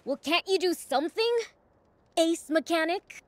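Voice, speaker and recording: A woman's voice: extremely high pitch, lively intonation, a little slow, no room sound. A woman playing a brash, impish young demon prince in a video game. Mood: indignant.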